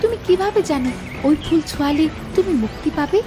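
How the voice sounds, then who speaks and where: A woman's voice: sits high, animated, brisk. A woman playing a kind, stubborn young village girl in a cartoon fable, earnest and insistent. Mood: earnest, concerned. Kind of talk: Bengali cartoon fable voice acting. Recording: loud music under the voice. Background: music.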